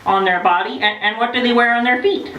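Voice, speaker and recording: A woman voicing a playful, hungry female penguin who reads a picture book to children, teasing them with questions and dramatic pauses. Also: a little high, a bit bright, highs cut off, close to an everyday voice. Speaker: storyteller for children. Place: echoey room with a group of children. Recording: roomy, distant, noisy live room recording.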